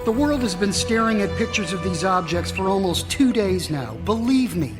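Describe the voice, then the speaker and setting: An actor playing a stern, exasperated government secretary in a radio drama, clipped and authoritative. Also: male voice, sits high, lively intonation, normal speed. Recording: music behind the voice.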